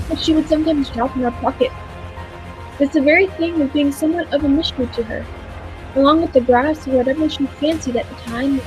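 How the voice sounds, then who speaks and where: Woman as an earnest time-travelling narrator, high-pitched, dramatic storytelling, old-time radio drama, faint music behind. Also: natural voice, low-quality recording. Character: earnest, thoughtful time traveller recounting the adventure. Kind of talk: 1940s radio play narration and acting.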